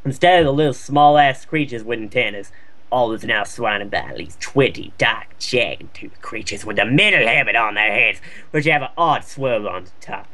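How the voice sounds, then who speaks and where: A man's voice: mid-range voice, expressive, moderate pace, bright, forceful tone. A man reading fan fiction aloud for comedy, slangy and sweary, with casual jokey asides.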